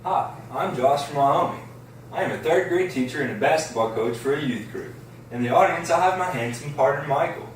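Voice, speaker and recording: A man's voice: fairly high pitch, a little fast, lightly acted. A man reading the part of a game show contestant at a script table read in an echoey room. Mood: friendly. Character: male game show contestant introducing himself. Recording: echoey room, distant mic, faint noise.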